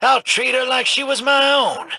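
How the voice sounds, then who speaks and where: A man's voice: extremely high pitch, steady tone, normal speed, sharp tone, dry recording, heavily acted. A man voicing a shady, cocky smuggler in a video game, drawling and teasing as he talks.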